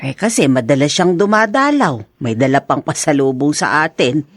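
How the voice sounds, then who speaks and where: Fond middle-aged mother, Filipino accent, radio drama, lively, dry sound. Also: low voice, moderate pace, dry recording. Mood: coaxing.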